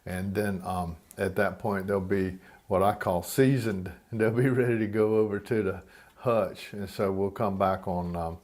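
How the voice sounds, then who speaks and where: Folksy guy doing a home how-to video, fairly deep voice, plain talk. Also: steady tone, a little slow, darkish tone. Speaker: hobby quail keeper presenting a video. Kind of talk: homemade instructional video narration.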